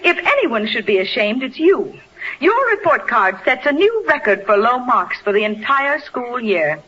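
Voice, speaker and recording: A woman's voice: on the high side, very animated, normal speed, a bit bright. A woman playing an exasperated, stern but easily flustered schoolteacher in a 1940s radio comedy, precise and indignant. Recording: dull, hissy 1940s radio broadcast.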